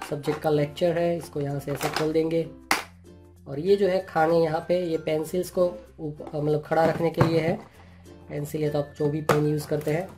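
Guy doing a product unboxing, flat even voice, keeps saying "jo hai", music under it. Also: fairly high pitch. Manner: plain explanatory talk with many "jo hai" fillers. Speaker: channel host reviewing products. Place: indoors at a table. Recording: clear music playing underneath.

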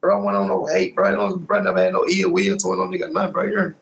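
Young man, American accent, heavy vocal fry, slightly high pitch, slangy, repetitive, emphatic, phone video-call chat. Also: little pitch movement, rapid-fire, fairly bright tone, dull audio. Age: young adult. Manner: repetitive, emphatic street talk with frequent tag questions. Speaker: rapper being interviewed. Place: small indoor room, on a video call. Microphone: phone at arm's length on video call.

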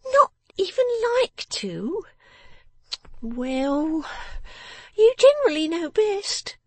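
A woman voicing a sharp-tongued, bossy, vengeful young woman in a storybook, with emphatic, snapping speech. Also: very high-pitched, big pitch swings, drawn-out words, no room sound, voice pushed up, heavily acted. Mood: grudging. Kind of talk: dramatised storybook reading.

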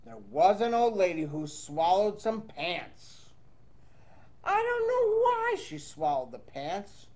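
Animated, slow-paced man reading to children. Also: high-pitched. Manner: chatty, sing-song, playful questions to young listeners. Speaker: children's storybook reader. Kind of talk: animated read-aloud to children with casual asides.

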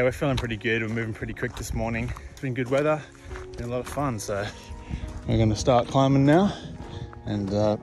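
A young Australian man vlogging casually to camera while out on a bush hiking trail, upbeat and chatty. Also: medium pitch. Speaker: hiker vlogger. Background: music.

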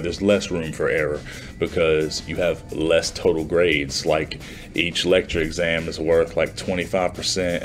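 A man in his twenties talking informally and conversationally to camera, like a student vlogger in a small room. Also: low-pitched. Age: 20s. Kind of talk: casual vlog talk to camera. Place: small plain indoor room.

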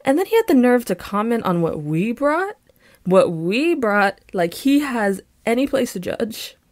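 A woman playing an affectionate, playful, excitable young girlfriend in an intimate roleplay audio, with a bubbly, teasing and pleading manner. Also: fairly high pitch, measured pace, no echo, clean studio sound. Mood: indignant, chatty.

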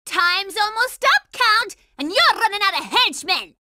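A woman's voice: very high-pitched, lively intonation, a little slow, pushed and bright, no room sound, studio quality, full character voice. A woman voicing a bossy, hot-tempered young girl operative in a cartoon video game, brash and commanding. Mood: fierce, commanding.

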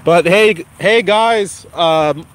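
Slightly raspy man live streaming outdoors. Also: high-pitched, drawn-out words. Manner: encouraging, repetitive, addresses viewers by name. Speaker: channel host. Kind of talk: casual live stream chat. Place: outdoors on a lawn walking a dog. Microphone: phone held while walking.

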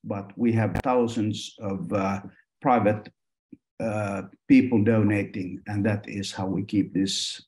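A middle-aged man from Finland, a foundation trustee, hosting a webinar on a video call in a measured way, with many pauses. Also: mid-range voice, a little mellow. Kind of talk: presenting and interviewing on a video call.

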